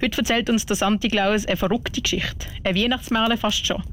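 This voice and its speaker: A woman's voice: medium pitch, fairly even intonation, a little fast, a bit bright, a little hiss or hum. A woman presenting a radio show as its host.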